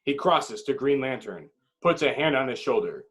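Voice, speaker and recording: A man's voice: medium pitch, sing-song, moderate pace, a bit bright, husky. A man reading stage directions as narrator at a table read, in dramatic, clipped phrases.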